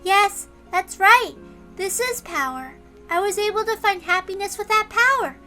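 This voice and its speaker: A little girl, with a very high, piercingly bright voice over clear background music. She is childlike, eerily serene yet quick to excitement, as in a visual novel. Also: exaggerated voice. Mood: solemn, serene. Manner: childlike, repeats herself in excitement.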